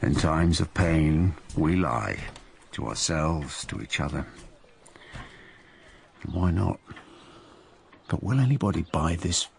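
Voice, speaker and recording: An actor playing an irritable, cynical writer in a radio drama, curt and sardonic. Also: male voice, rumbling low, lively intonation, slow pace, gravelly, pauses often, a little hiss or hum, exaggerated voice. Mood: cynical, musing.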